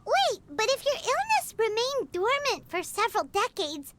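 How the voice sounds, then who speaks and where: A woman voicing a small, excitable floating fairy companion who talks about herself in the third person, in a video game. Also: very high voice, normal speed, background noise. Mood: curious. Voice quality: speaks of herself in the third person, eager and blurting.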